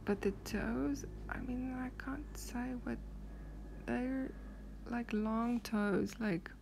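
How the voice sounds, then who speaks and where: A woman with a South African accent rambling softly through a strange dream, often half-whispering and hesitating with many fillers. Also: a little high, fairly even intonation, takes it slowly, vocal fry, a little hiss or hum, poor audio. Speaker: vlogger.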